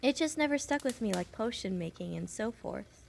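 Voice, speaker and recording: Woman playing a young witch assistant nurse, shy, nervous, chatty, caring, crackly vocal fry, roleplay audio. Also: fairly high pitch, expressive, normal speed, a little mellow. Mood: chatty, friendly. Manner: rambling, apologetic, nervously giggly. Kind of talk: audio roleplay, speaking softly to one patient.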